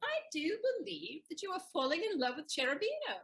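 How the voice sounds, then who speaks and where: A woman's voice: high voice, big pitch swings, a little fast, a bit bright, full character voice. A woman playing a shrewd, teasing lady's maid in a stage comedy audio play, wry and knowing.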